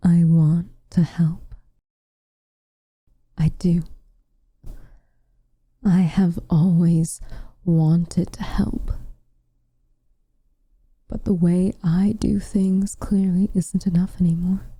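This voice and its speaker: Woman acting a breathy, dark-voiced brooding witch. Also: lowish voice, little pitch movement, no room sound, heavily acted. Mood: troubled, despairing. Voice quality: soft, breathy, often whispering. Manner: measured, grave, formal phrasing. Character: protective, brooding tsundere witch, woman, weary but tender. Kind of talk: ASMR roleplay, slow intimate acting. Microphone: close microphone, dry.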